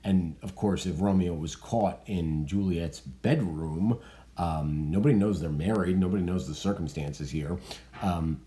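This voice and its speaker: Low, mellow-voiced teacher explaining. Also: male voice, measured pace. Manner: relaxed and explanatory, with many ums and restarts. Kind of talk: spoken literature lesson with commentary.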